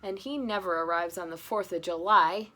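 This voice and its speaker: A woman's voice: on the low side, normal speed. Woman voicing indignant old grandpa bear. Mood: insistent. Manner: insistent, loud, exclaiming.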